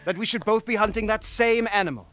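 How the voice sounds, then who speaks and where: An actor playing an earnest young Norse village warrior in a video game, with a persuasive way of talking. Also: male voice, high-pitched, fast, fairly bright tone, soft background music. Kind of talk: dramatic video game acting.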